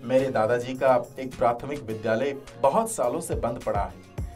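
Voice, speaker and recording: A man's voice: mid-range voice, moderate pace, darkish tone, soft background music, natural voice. A man playing an earnest, respectful villager in a cartoon moral story, speaking politely and formally.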